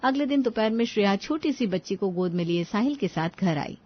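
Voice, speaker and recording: A woman's voice: mid-range voice, lively intonation, brisk, highs cut off. A woman reading a scripted family story as its narrator.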